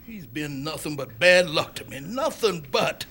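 A man playing an eager young male jockey who talks urgently and rises to shouts. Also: fairly high pitch, lively intonation, unhurried, heavily acted. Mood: resentful.

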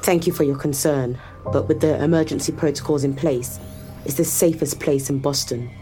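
A woman's voice: low voice, fairly even intonation, moderate pace, over music, close to an everyday voice. A woman playing a poised, guarded corporate executive in an audio drama, speaking formally and with control. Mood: cool, dry. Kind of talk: scripted audio drama acting.

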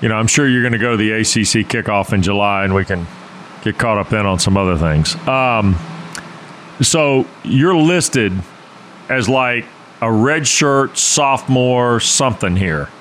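A man's voice: fairly low pitch, lively intonation, fairly bright tone, a little hiss or hum. A man hosting a sports radio show, talking casually and joking with a guest and his co-host. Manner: joking, conversational, quick asides.

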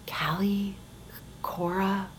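A woman's voice: mid-range voice, steady tone, drawn-out words, slight background noise. A woman acting in an audio drama as a blunt, exasperated woman who is urgently trying to escape. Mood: urgent, searching. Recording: faint music behind.

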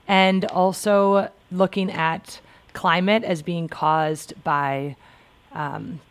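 Woman radio host, lowish voice, announcing the show.

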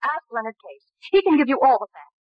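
A woman's voice: sits high, sing-song, brisk, no room sound. An actress playing an anxious, defensive young woman under suspicion in an old-time radio mystery, emotional and stammering when pressed. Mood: nervous, defensive. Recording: dull old radio recording.

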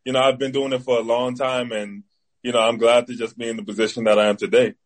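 A man's voice: mid-range voice, little pitch movement, fast talker. A man in his twenties from the Bahamas with a Bahamian accent, an athlete giving casual interview answers as a guest. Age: 20s. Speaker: interview guest, football player.

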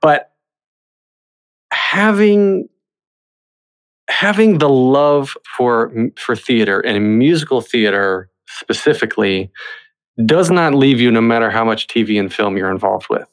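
A man's voice: medium pitch, lively intonation, a little slow, pauses often. Man hosting a conversational podcast interview. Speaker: podcast host.

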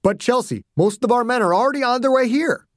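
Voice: medium pitch, animated, fast, no room sound, studio quality, pitched above the performer's own voice, natural voice. Narrator playing a cautious, reluctant army general. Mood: worried, objecting. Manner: reasoning, objecting, deferential.

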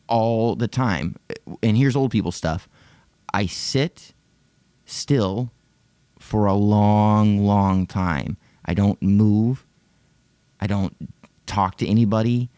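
A man's voice: fairly low pitch, a little mellow, no echo. Rambling, profane man in his thirties. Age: 30s. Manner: rambling, profane, self-deprecating. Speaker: podcast host. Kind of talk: casual chat.

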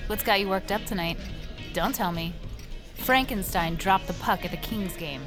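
An actress playing a wry, straight-talking bartender and confidante in an audio drama, teasing and dry. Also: female voice, mid-range voice, moderate pace, music in the background, lightly acted.

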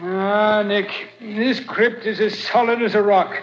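An actor playing a gruff, stubborn police lieutenant in a 1940s radio drama, blunt in his talk. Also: sits high, fairly even intonation, takes it slowly. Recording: muffled old radio broadcast, faint hiss.

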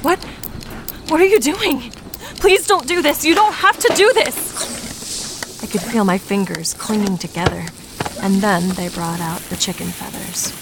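An actress playing a beleaguered, earnest podcast investigator in a first-person audio drama. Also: female voice, medium pitch, unhurried, background noise, close to an everyday voice. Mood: frightened, pleading.

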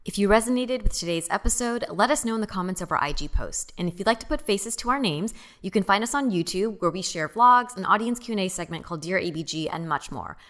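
Bright-voiced woman co-hosting a podcast. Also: mid-range voice, fast. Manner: relaxed, self-deprecating, wraps up the show. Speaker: podcast co-host. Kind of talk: casual chat.

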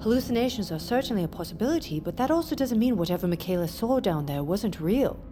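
Calm, reasoning woman doctor played by an actress. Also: mid-range voice, fast, over music, natural voice. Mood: measured, persuasive. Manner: reasoned, persuasive. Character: calm, open-minded woman doctor on expedition. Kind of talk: audio drama acting.

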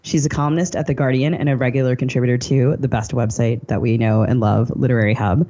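A woman's voice: rumbling low, fairly even intonation, quick pace, dark tone, muffled recording. An American woman co-hosting a podcast, delivering a scripted introduction. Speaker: podcast co-host.

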